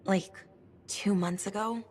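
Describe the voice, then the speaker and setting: An actress playing a scrappy young woman adventurer in a video game, casual and defensive, with a husky voice. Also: mid-range voice, fairly even intonation, slow, a little mellow. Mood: sheepish. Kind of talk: video game cutscene dialogue.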